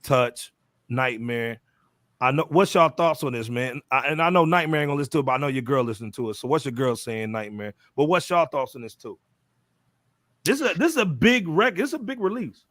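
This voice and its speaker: An American man hosting a casual music podcast, talking in an animated, slangy, emphatic way. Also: mid-range voice, a little fast, dry recording, clean studio sound. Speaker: podcast host. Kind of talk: casual music panel chat.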